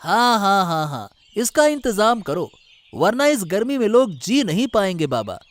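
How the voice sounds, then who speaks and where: A man's voice: high-pitched, very animated, a little slow, no room sound. A man voicing a friendly, caring son in a cartoon story, solicitous in manner. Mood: caring, reassuring. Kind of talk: Hindi cartoon story voice acting.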